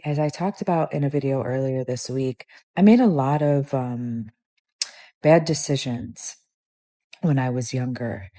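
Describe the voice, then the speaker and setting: Woman, 40s, candid and reflective; low, mellow, unhurried. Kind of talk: talking to camera vlog.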